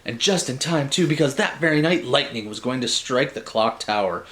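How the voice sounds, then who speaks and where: A man's voice: mid-range voice, animated, normal speed, a bit bright. A man narrating a story like a storybook, theatrical and dramatic, with wry asides.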